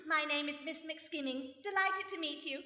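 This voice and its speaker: A woman's voice: sits high, moderate pace, sharp tone. A woman playing an unflappable, wry lady detective in a radio play, polite, dry and arch. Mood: dry, composed. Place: stage before a live audience. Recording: echoey live hall.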